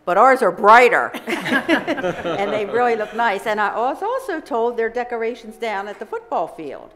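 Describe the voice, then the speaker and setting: A woman's voice: a little high, very animated, normal speed, a crowd in the background. A woman, a local resident, giving an effusive public comment at a town council meeting. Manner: effusive, warm praise.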